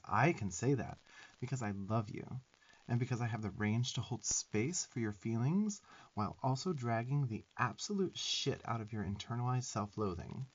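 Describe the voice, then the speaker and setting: A man's voice: mid-range voice, muffled recording, natural voice. A man voicing a sarcastic, bossy but affectionate little demon twink in a profane, emphatic ASMR roleplay. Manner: sassy, scolding, profane, emphatic.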